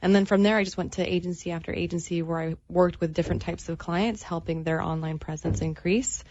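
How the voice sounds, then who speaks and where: A woman's voice: on the low side, fairly even intonation, a little fast, darkish tone, lo-fi sound, slight background noise. A young woman from Florida, a guest on a radio show, chatting in an upbeat way about her work. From Florida, USA. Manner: upbeat, chatty, laughs often. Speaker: guest, internet marketer. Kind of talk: radio interview chat.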